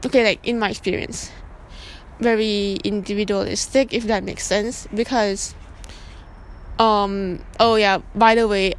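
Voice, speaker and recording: A young woman from Laos, a student answering interview questions in a relaxed, rambling way. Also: medium pitch, clear, resonant tone, rough recording. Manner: relaxed, rambling, with many fillers.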